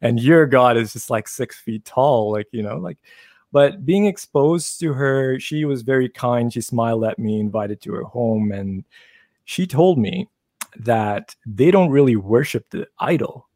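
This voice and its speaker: A man from Pakistan, a guest telling his life story in a casual interview, measured and thoughtful with many fillers. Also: medium pitch, expressive, darkish tone, dry recording, clean studio sound.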